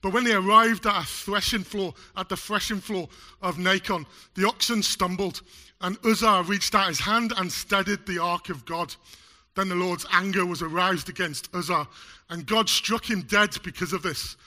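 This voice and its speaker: Man preaching, high bright voice, chatty with fillers, recovering from a chest cold. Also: moderate pace. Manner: chatty, jokey, loose with fillers. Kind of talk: sermon. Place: church hall.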